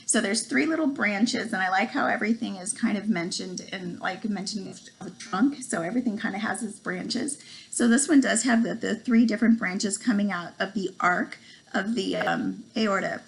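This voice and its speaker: Hoarse woman with a cold, halting. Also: medium pitch, slight background noise, poor audio. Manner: halting, many ums, stumbles over terms. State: has a cold, losing her voice. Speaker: student presenter. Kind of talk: casual home video lesson. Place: small room at home, indoors. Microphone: laptop webcam.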